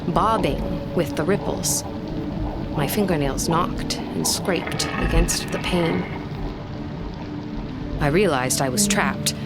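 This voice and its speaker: Gothic audio drama lead: actress as fearful, curious young woman, lowish voice, suspenseful delivery, heavy score underneath. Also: lightly acted. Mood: tense, haunted. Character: young woman recounting a terrifying ghostly vision, curious, fearful. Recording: loud dramatic music under the voice.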